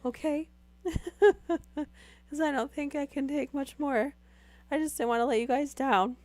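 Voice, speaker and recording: A woman's voice: high-pitched, unhurried, darkish tone, no room sound. A young woman chatting casually with live viewers while losing her voice to a sore throat, rambling with many fillers. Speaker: streamer.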